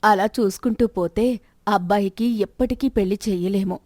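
A woman's voice: medium pitch, normal speed, a little mellow, dry recording, lightly acted. Practical, nagging wife, voiced by a woman, very dry sound. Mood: chiding, persuasive. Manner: chiding, questioning, persuasive. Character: practical, persistent, nagging middle-aged wife of rich merchant. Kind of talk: moral story dialogue.

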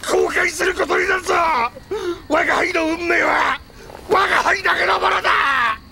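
Hammy middle-aged villain warlord for a video game, high gravelly voice thats all over the place, sly and gleeful. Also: male voice, normal speed, shouting, very noisy, exaggerated voice. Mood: defiant, furious. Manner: melodramatic, swinging from sly muttering to shrieking. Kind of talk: Japanese video game voice acting.

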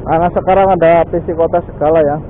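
A man talks casually as he rides a motorbike, scattering little fillers through every sentence. His voice sits high and sounds thin and phone-like. Faint traffic hums underneath. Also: fairly even intonation, fast. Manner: chatty, lots of "ya" and "guys" fillers. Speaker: motovlog host. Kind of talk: casual chat while riding. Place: riding a motorbike on city streets outdoors.

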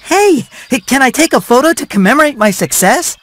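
A small talking mouse for a video game. The voice is high and boyish, with animated pitch. It sounds friendly and eager to help. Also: a little fast. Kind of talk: video game cutscene acting.

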